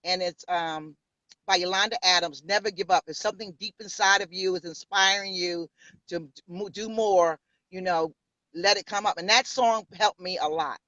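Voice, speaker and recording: This is a woman in her fifties from New York who speaks with a New York accent. She tells her story in a bold, sassy way, and her voice is bright and forceful. The sound is close and dry, though a little muffled. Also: lowish voice, moderate pace, no echo. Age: 50s. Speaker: pastor and interview guest. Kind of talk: casual interview.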